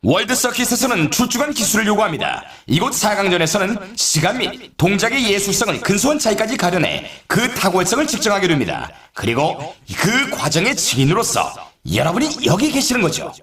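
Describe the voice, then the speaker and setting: An excitable male snowboard announcer for a video game, pitched high with big swings in intonation. He delivers scripted race commentary with showy, dramatic flair. The sound is roomy and echoing. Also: a little fast, few pauses. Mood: grand, confident. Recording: roomy echo, slightly distant mic.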